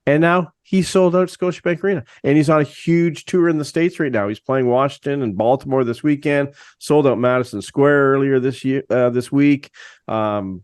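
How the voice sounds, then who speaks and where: A man's voice: medium pitch, darkish tone, dry recording. An older man with a mid-range voice co-hosts a casual podcast and rambles through stories in a chatty way. The sound is dry and close-miked. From Canada. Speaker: co-host.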